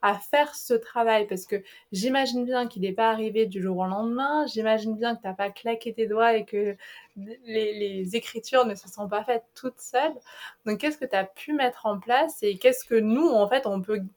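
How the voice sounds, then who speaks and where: A woman's voice: on the high side, moderate pace, a little mellow. A woman hosting a podcast interview, chatting casually and enthusiastically with her guest. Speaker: podcast host.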